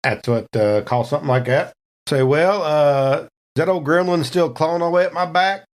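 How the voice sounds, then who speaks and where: A man's voice: on the high side, normal speed. A man casually narrating his character's moves as a player in a tabletop game, in folksy, colloquial phrasing.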